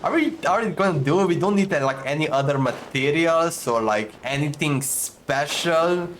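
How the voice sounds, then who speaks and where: A man's voice: on the high side, expressive, moderate pace, a bit bright, a little hiss or hum. A young man giving casual, informal game commentary in a chatty, easily baffled way. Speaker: gaming streamer. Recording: game music behind the voice.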